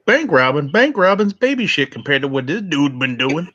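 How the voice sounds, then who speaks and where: A man co-hosting a live food review stream, blunt and joking, with salty language, often clearing his throat. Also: fairly high pitch, sing-song, normal speed, bright, forceful tone. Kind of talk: casual live-stream chat. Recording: dull lo-fi mic.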